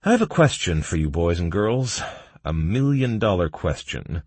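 A man's voice: low-pitched, very animated, moderate pace, a little mellow, husky, no room sound, heavily acted. A man voicing an emotional, scheming adventurer as the hero of a game fantasy story.

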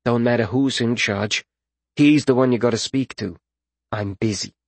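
A man voicing a calm, laconic fighter and healer in a fantasy audiobook, with an understated, measured manner. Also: mid-range voice, no echo, close to an everyday voice. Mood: dismissive. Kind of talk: audiobook fantasy character acting.

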